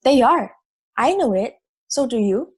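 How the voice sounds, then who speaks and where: A woman's voice: a little high, expressive, a little slow, breathy edge. A woman playing a logical, assertive, matter-of-fact juror in an amateur audio drama. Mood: firm.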